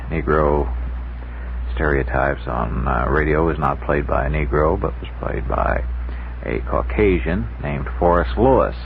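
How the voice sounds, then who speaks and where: A man's voice: rumbling low, lively intonation, a little slow, creaky. A man being interviewed on radio as a guest author, explaining things in a casual, hesitant way. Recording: dull vintage radio tape, faint noise.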